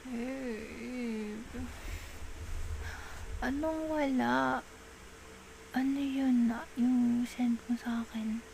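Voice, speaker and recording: A woman with a Filipino accent playing a clingy, coaxing girlfriend in an intimate ASMR roleplay, pleading in soft baby talk. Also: on the high side, steady tone, extremely slow, creaky, long pauses, a little hiss or hum, full character voice, low-quality recording. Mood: puzzled, pleading. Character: clingy, coaxing girlfriend soothing a jealous partner.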